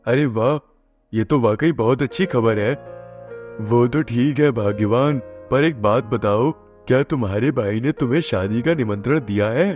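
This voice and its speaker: A man playing a cautious, principled husband in a moral-story cartoon, measured and advising. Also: mid-range voice, a little mellow, faint music behind, natural voice. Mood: pleased, curious.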